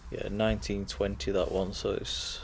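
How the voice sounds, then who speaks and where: A man's voice: lowish voice, level tone, normal speed, creaky, slight background noise. A man walking through an old churchyard, reading gravestones aloud in a casual, musing way while losing his voice. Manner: musing, reads gravestones aloud, talks to himself. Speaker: vlogger touring a graveyard. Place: outdoor churchyard.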